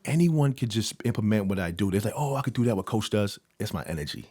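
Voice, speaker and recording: A Jamaican-born man who mentors young people talks quickly in a fairly low voice. He comes across energetic and keeps restarting and repeating words. The recording is close and dry. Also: no room sound. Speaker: youth mentor and coach. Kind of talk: casual interview talk.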